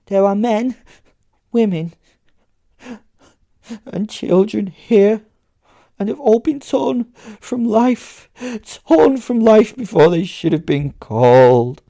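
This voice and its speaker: A stage psychic in a horror audio drama, haunted and scared out of his wits. The voice is pushed high and very loud, breaking into tearful, stammered repeats. It sounds close and dry. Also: lively intonation, slow, vocal fry, long pauses, dry recording, full character voice. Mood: tearful dread. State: crying, distressed.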